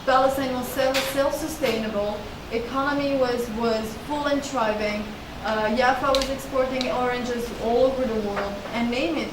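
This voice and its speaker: A woman in her thirties gives an academic talk from a panel table in a seminar room. Her voice is fairly high and comes from some way off the table mic. The room echoes and there is steady background noise. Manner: earnest, explanatory, with frequent restarts. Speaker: lecturer. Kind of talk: academic talk answering audience questions. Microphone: table mic on a stand. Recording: echoey room, distant and noisy.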